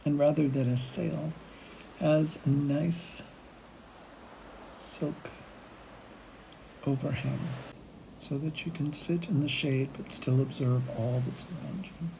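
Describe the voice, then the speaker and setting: A woman leading a guided meditation, speaking in unhurried phrases with long pauses between them. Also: deep voice, fairly even intonation, vocal fry, dark tone, a little hiss or hum, rough recording. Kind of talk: guided meditation, gentle imagery narration.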